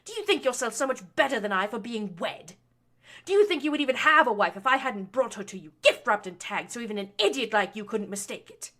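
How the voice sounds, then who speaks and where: A woman doing a shrill, furious noble mother. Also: expressive, brisk, sharp tone. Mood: scolding. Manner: shrill scolding tirade. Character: harsh, domineering noble mother, furious and scornful. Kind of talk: audiobook reading of fan fiction.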